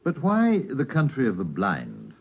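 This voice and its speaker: An actor playing a curious, practical and genial mountaineer, speaking in a clipped conversational way in an old radio drama. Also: male voice, mid-range voice, wildly swooping pitch, a little slow, creaky, exaggerated voice. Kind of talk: 1950s radio drama acting. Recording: dull, noisy vintage radio recording.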